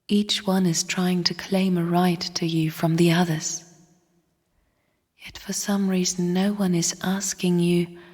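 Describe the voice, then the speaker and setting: An actress playing a bleak, bitter female spirit in a video game, speaking in a breathy, husky whisper with a hushed, cryptic, scornful manner. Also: lowish voice. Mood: cryptic, taunting. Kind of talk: video game character monologue. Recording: long reverberant hall echo.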